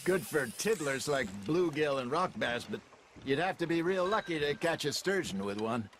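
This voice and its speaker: A man's voice: a little high, expressive, moderate pace, background noise, natural voice. An actor playing an older, genial outlaw and seasoned fisherman in a western game, talking in a dry, teasing, easygoing way. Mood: teasing, eager. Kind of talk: cinematic western game acting.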